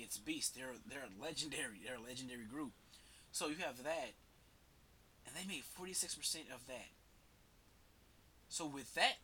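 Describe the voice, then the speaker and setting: A man's voice: fairly high pitch, bright, forceful tone, slight background noise. A man with a head cold, talking casually and rambling as a podcast host, slangy and swearing freely. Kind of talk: casual solo commentary.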